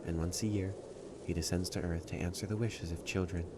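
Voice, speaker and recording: A man playing a proud, prickly stray catboy who hides his loneliness, in an emotional audio roleplay with a haughty, halting delivery. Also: deep voice, fairly even intonation, very noisy, natural voice. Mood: wistful storytelling. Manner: tsundere, haughty, defensive, halting.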